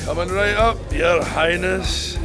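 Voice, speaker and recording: Man acting a grumpy, high-voiced old British barman. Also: slow pace, music in the background. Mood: surly. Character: grumpy, hostile older pub barman. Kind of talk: comedy radio play acting.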